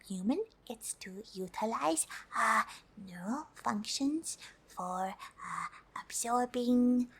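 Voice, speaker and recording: A friendly, excitable alien played by a woman whispers through an ASMR roleplay. The voice is breathy and fairly high, moving extremely slowly. The phrasing is oddly formal and hesitant, like an eager visitor carefully addressing humans. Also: exaggerated voice. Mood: earnest, helpful. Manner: hesitant, formal, third-person self-reference with frequent uh.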